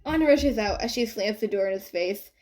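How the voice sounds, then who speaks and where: A woman narrating a written story in an expressive, informal and wry way. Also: medium pitch, moderate pace. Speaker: fan fiction narrator.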